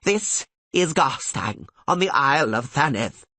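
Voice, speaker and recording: A man's voice: mid-range voice, very animated, unhurried, sharp tone, dry recording, pitched above the performer's own voice, heavily acted. A man reading an audiobook voices an imperious older sorceress who speaks in a formal, commanding way. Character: stern, imperious older female archmistress sorceress.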